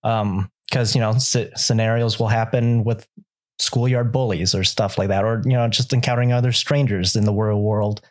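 A young man from Southern California, born to a Vietnamese-speaking home, talking as a cinematographer guest in a relaxed, reflective interview. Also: fairly low pitch, normal speed. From Southern California, USA. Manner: relaxed, reflective, uses many fillers. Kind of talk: casual interview conversation.

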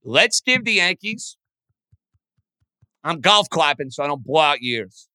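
A man talks sports as a podcast host in a booming, forceful, very bright voice. It sits high for a man, with emphatic, punchy phrasing. The sound is dry and close. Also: sing-song, measured pace, dry recording. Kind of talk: energetic sports commentary and interview.

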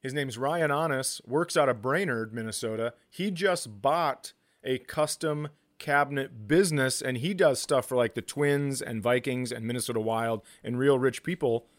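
A man with an average-pitched voice chats as co-host of a comedy podcast. The sound is dry with no room echo, and he riffs wryly and casually with plenty of swearing. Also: moderate pace, few pauses. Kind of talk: casual comic banter.

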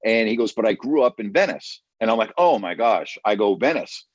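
A man's voice: fairly low pitch, animated, a little fast, breathy edge, dry recording, muffled recording. A man chatting casually as a guest, emphatic and repeating himself for stress. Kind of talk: casual chat.

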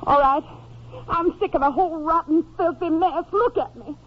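A woman's voice: extremely high pitch, moderate pace. An actress playing a desperate, defiant young drug-addicted woman in an agitated manner in a 1950s radio drama. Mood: bitter, desperate. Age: young adult. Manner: agitated, emotional. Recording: muffled, noisy vintage radio recording.

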